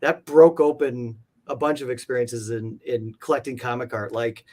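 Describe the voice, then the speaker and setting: A man's voice: mid-range voice, steady tone, normal speed, darkish tone. Man chatting informally as an interview guest. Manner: informal, meandering, frequent "like". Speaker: interview guest, comic art collector. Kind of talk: casual conversational storytelling.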